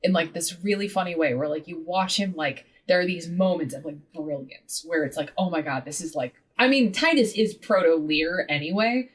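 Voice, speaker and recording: Woman, podcast guest, casual and animated, swears freely, lower voice, sits a little far from the mic. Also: moderate pace, few pauses, husky. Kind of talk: casual interview chat.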